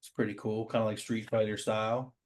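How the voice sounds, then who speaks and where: A man's voice: lowish voice, level tone, fairly quick. A man hosting a show, chatting casually and admiringly as he comments on comic art. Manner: admiring, informal, says "man" often. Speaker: show host.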